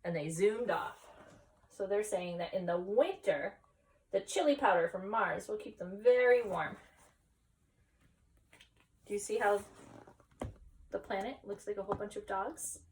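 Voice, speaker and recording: A woman's voice: medium pitch, unhurried, long pauses, darkish tone, far from the mic, poor audio. Woman reading aloud, away from the mic. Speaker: story time reader at an elementary school. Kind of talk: children's read-aloud with explanatory asides.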